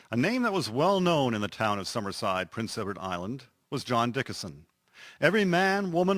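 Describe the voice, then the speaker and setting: A man narrating a scripted historical radio drama in a measured, expressive storytelling manner. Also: medium pitch, no echo.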